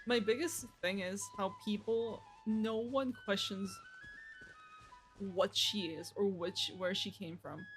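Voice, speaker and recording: A woman with a medium-pitched voice gives live reactions as a game streamer. She is informal and amused, chatting casually while game music plays clearly behind her. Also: takes it slowly, poor audio.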